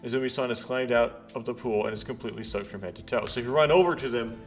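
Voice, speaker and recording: A man doing a casual let's play, haltingly thinking aloud and reading visual novel text out. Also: mid-range voice. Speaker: let's player. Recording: background music behind voice. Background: game music.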